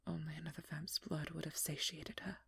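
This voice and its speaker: A woman playing a haunted, determined vampire hunter in an ASMR audio role-play, confessing slowly and haltingly in a breathy, husky whisper, with pain in her delivery. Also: sits low, flat delivery, bright, forceful tone, no echo, full character voice. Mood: somber, aching. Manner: slow, halting, pained confession in short broken phrases.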